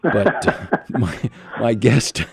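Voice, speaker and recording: A man's voice: fairly low pitch, animated, slow pace, gravelly, husky, no room sound. A man hosting an interview podcast on a headset mic, chatty and rambling with many follow-up questions. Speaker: podcast host. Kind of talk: casual interview chat.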